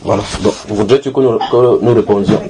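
A man's voice: lowish voice, fairly quick, background noise. A man leading an informal Bible study at a house church, teasing and coaxing his listeners with questions. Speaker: Bible teacher. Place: house church room with people around.

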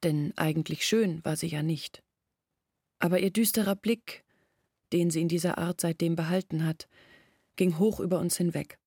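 Young village woman, reflective, measured storytelling, lower register, intimate dry sound, radio drama. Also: steady tone, warm tone, husky, dry recording. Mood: reflective, earnest. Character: Olga, young village woman recalling family's past pride. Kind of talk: German radio play acting, reflective storytelling.

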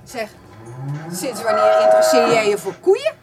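A mature farmer's wife, fiercely protective of her family, in a radio play. Her voice is slightly high and very animated. She is chatty and presses her point insistently. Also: normal speed. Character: protective farmer's wife and mother, middle-aged or older, insistent. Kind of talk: radio drama dialogue.

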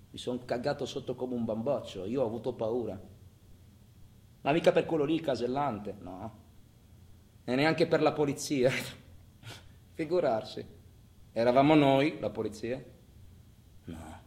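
An actor playing an agitated, foul-mouthed robber in an intense, colloquial theatrical monologue that swings from hushed to shouting. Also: male voice, mid-range voice, natural voice. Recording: roomy echo, faint background noise.

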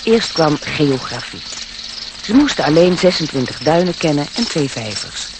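A man's voice: on the high side, big pitch swings, moderate pace, background noise. A man narrating a radio play in a scripted storybook style.

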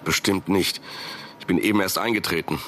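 An actor playing a guarded, authoritative tech company boss in a radio drama, curt and businesslike. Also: male voice, deep voice, steady tone, brisk, sharp tone, slight background noise.

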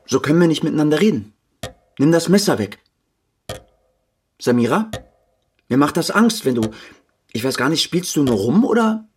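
An actor playing an anxious writer pleading with his partner in a naturalistic radio drama, halting and urgent. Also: a little high, sing-song, slightly rough. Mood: alarmed, pleading.

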